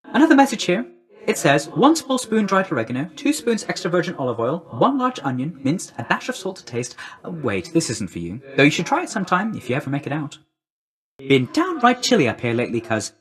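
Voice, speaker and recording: A man's voice: fairly high pitch, huge pitch swings, brisk, a bit bright. A man voicing a breezy, chummy young messenger god in a video game, with a slangy, glib, friendly manner. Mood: breezy, businesslike, then chatty, casual.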